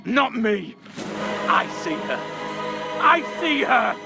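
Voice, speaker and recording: An actor playing a zealous, accusing seventeenth-century reverend in a video game, with pompous, fervent, oratorical delivery. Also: male voice, very high-pitched, very animated, slow pace, gravelly, loud music behind the voice, exaggerated voice. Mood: furious. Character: zealous, accusing 17th-century Puritan reverend, a grown man. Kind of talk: dramatic video game acting.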